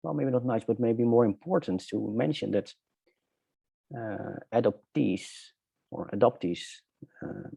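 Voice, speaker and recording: A middle-aged man from the Netherlands with a Dutch accent, telling his personal story reflectively and haltingly in conversation, searching for words. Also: fairly low pitch, expressive, creaky, dark tone, breathy edge, no room sound, highs cut off. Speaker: interview guest.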